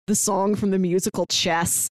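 A woman's voice: medium pitch, fairly even intonation, normal speed. An American woman co-hosting a podcast in a casual recap chat, informal and enthusiastic, sprinkling in "like" often. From St. Louis, United States. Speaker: podcast co-host.

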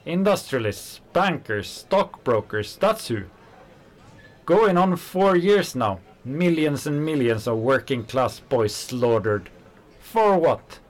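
An actor playing an angry working-class kitchen worker, a fiery socialist who talks in heated, scornful bursts. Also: male voice, medium pitch, animated, takes it slowly. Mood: impassioned. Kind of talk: radio play acting.

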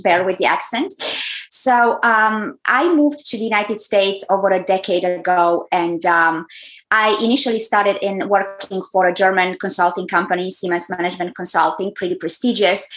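A woman from Romania with a Romanian accent, answering as a podcast guest in an effusive, measured way. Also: medium pitch, a bit bright, poor audio. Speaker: guest, brand strategist. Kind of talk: interview answers.

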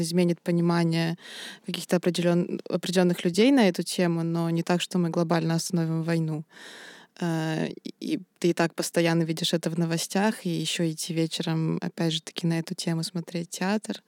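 A woman's voice: fairly low pitch, a little mellow, no room sound, studio quality. A woman theatre producer speaking candidly and thoughtfully in a casual podcast discussion, laughing now and then.

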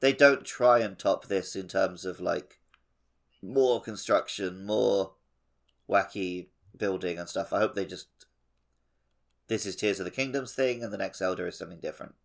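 A man's voice: low-pitched, normal speed, creaky. A man co-hosting a casual gaming podcast, speaking in a dry, wry way. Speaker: podcast co-host.

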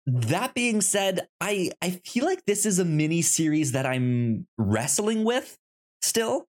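Slow, halting man with a stutter. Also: high-pitched, big pitch swings, darkish tone. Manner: halting, repeats syllables and words, stuttering. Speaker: podcast co-host. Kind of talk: casual film discussion.

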